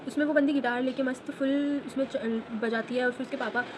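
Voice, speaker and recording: A woman in her late teens telling a casual story while drawing, chatty and quick, mixing English phrases into her talk. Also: high-pitched, fairly even intonation, background noise. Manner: chatty, fast, mixes English phrases into Hindi. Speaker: vlogger.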